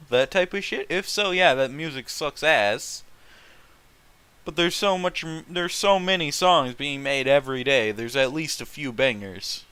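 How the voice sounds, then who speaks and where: A man's voice: fairly high pitch, pushed and bright, clean tone, dry recording. A man hosting a casual read-aloud with mocking commentary, foul-mouthed and incredulous, often breaking off to react. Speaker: host reading fan fiction aloud and commenting.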